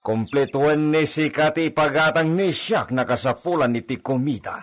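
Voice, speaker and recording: A man's voice: fairly high pitch, normal speed, natural voice. A man with an Ilocano Filipino accent playing a harsh, domineering rancher in a radio drama, scolding imperiously. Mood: commanding, curt. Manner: imperious, loud outbursts, scolding. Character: harsh, domineering rancher master berating his servants.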